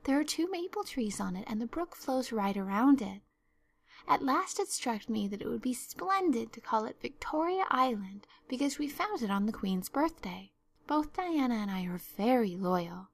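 A woman's voice: a little high, lively intonation, moderate pace, vocal fry, pitched above the performer's own voice. A woman voicing an imaginative, earnest young girl in a storybook, talking in an effusive, theatrical, pleading way. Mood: wistful, earnest. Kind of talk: storybook narration.

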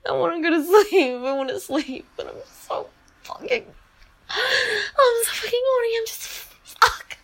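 A woman in bed at night, exhausted, talking in a breathy, whispery, trembling voice that breaks into moans. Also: extremely high pitch, measured pace, fairly bright tone. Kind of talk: intimate erotic audio monologue.

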